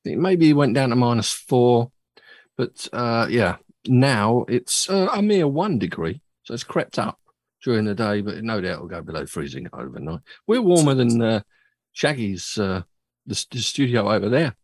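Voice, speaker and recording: A man's voice: medium pitch, sing-song, moderate pace, darkish tone, no echo. A man hosting a casual chat show, rambling and joking at his own expense. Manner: chatty, rambling, self-mocking. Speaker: podcast host. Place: home studio.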